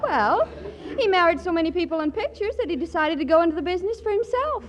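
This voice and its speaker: Bubbles, a wisecracking schemer. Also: female voice, extremely high pitch, lively intonation, quick pace, a loud crowd. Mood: scheming. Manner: wisecracking, playful. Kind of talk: 1940s radio comedy acting.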